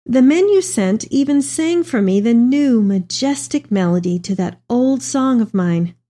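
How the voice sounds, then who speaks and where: A woman's voice: fairly high pitch, lively intonation, unhurried, warm tone. A woman playing an elderly, tender mother reading her letter aloud in a reflective dramatic reading. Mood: tender, fond.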